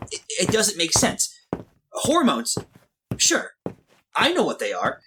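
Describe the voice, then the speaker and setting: A man's voice: sits high, a bit bright, slight background noise. A young man playing an earnest, flustered boy lab helper in a retro radio drama, hesitant, stammering and pleading. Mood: confused, weary. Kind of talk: retro 1940s-style radio drama acting.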